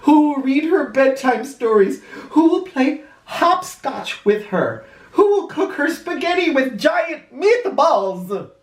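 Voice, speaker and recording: Man acting a high, fussy old man. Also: very animated, slow pace, few pauses, reverberant, full character voice. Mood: worried, fretful. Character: eccentric, fussy old man devoted to his pet.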